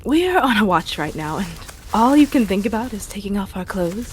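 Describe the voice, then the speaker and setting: A woman's voice: medium pitch, big pitch swings, a little fast, background noise, lightly acted. A woman voicing a shy, easily flustered adventurer in a tabletop game, hesitant and stammering when teased.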